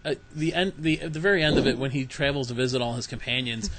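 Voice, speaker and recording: A man's voice: medium pitch, fast talker, poor audio. A man hosting a podcast, chatting eagerly as a fan. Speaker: podcast host. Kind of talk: casual chat. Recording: clear steady background hiss.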